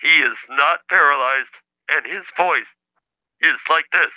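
Stilted, robotic, high-voiced phone caller. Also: male voice. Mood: insistent. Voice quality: flat synthesizer-like delivery. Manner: stilted, halting, insistent. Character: indignant male caller claiming to be a famous scientist's brother, robotic-talking. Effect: telephone call. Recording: dull lo-fi phone line.

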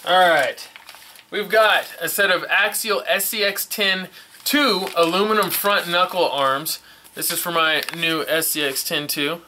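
A man's voice: high-pitched, measured pace, pushed and bright, slight background noise. Enthusiastic man unboxing hobby parts. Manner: enthusiastic, repeats "super stoked". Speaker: RC hobby vlogger. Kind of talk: casual unboxing vlog. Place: indoor hobby workshop bench. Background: package crinkling and clicks.

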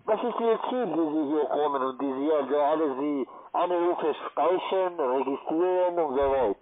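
A German speaker, a man working as a call-centre agent, talking curtly and insistently in halting phrases over the telephone. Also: fairly high pitch. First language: not German. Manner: curt, insistent, in halting non-native phrasing. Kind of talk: phone sales call, argumentative. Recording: tinny narrow phone line.

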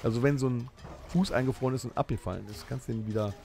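A man's voice: mid-range voice, quick pace, vocal fry, darkish tone, soft background music. A man co-hosting a comedic improvised audio play, reading out rules with eager, insistent emphasis. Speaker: podcast co-host.

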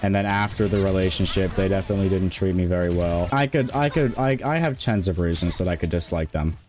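Low-voiced, monotone male streamer. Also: fast. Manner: monotone, rambling, many restarts. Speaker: game streamer. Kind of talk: casual stream chat.

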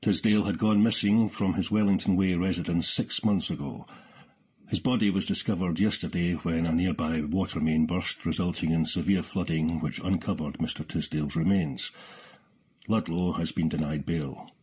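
Deep, very husky newsreader played by a man. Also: steady tone, moderate pace, a little mellow. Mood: grave, formal. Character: grave television news bulletin anchor. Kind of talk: formal news reading in audio drama.

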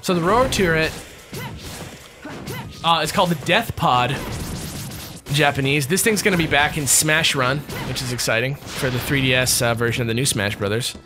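A man's voice: mid-range voice, normal speed, long pauses. A young American man co-hosting a let's play, chatty and teasing, sharing trivia while playing. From United States. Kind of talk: casual gaming banter. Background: game music.

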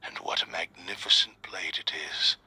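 Game role: despairing armored samurai spirit of a blade. Lowish, whispery, formal and halting. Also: male voice, steady tone, bright, forceful tone, breathy edge, full character voice, rough recording. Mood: solemn, regretful. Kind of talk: scripted video game dialogue.

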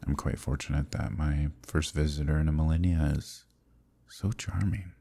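Man as an imprisoned eldritch male villain, ASMR roleplay, dark mellow tone, very breathy and husky, lonely, teasing, seductive. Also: rumbling low, steady tone, heavily acted. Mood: wistful, teasing. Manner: slow, intimate, teasing. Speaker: voice actor.

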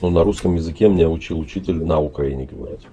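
A middle-aged man with a very low, dark voice talks quickly over a webcam, with faint noise in the background. He plays innocent and keeps probing with questions. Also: muffled recording. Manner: feigned naive, drawn-out questioning. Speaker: video chat participant. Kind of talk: casual video chat. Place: indoor room.

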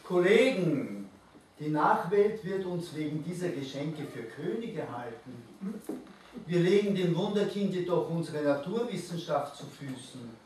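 Guy reading a humble wise king, slow and dry, echoey and distant. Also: fairly high pitch, expressive, a little mellow. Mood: wry. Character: modest, learned king among the Magi. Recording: roomy reverb, distant mic, faint noise.

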